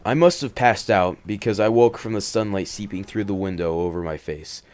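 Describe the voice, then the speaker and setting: A man hosting and reading horror stories in an expressive, dramatic way, moving between hushed and emphatic delivery. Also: lowish voice, normal speed. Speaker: horror story reader and host. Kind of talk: dramatic first-person horror narration with casual commentary.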